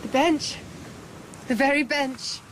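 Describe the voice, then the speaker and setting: An actress playing a gentle, wry, well-spoken Edwardian Englishwoman in a radio drama. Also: high voice, slow. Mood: tender. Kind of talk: period radio drama acting. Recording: clear background noise and ambience.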